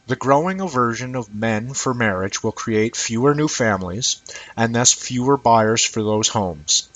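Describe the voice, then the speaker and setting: A man's voice: lowish voice, a bit bright, husky, poor audio. A man reading an essay aloud as scripted narration. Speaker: reader of an essay.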